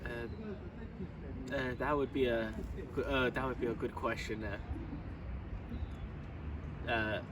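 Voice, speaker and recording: An eighteen-year-old man with a Lithuanian accent, a native Lithuanian speaker, answering halting and full of uhs and restarts. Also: mid-range voice, fairly even intonation. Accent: Lithuanian-accented English. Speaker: interviewee. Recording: distant mic, street noise.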